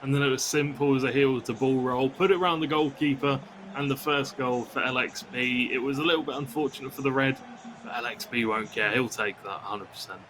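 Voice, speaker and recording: A man's voice: mid-range voice, fairly even intonation, a little fast, background noise. A man working as an esports co-commentator, analysing football video game matches during live commentary.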